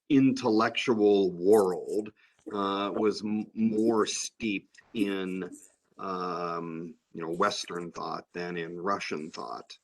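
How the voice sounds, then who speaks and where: A man giving a measured academic comment at a conference, with many fillers. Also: lowish voice, poor audio. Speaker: conference panelist. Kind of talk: academic discussion.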